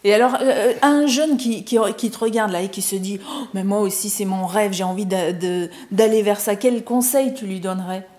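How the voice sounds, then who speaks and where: A woman hosting a TV interview, encouraging her guest with steady murmurs of agreement. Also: medium pitch, normal speed, few pauses. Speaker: TV host, interviewer.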